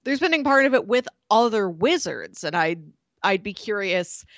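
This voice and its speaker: A woman's voice: mid-range voice, big pitch swings, normal speed, a bit bright, dry recording. A woman co-hosting a book club podcast, chatting casually in an easygoing way and chuckling now and then. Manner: easygoing, chuckles while talking. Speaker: podcast co-host.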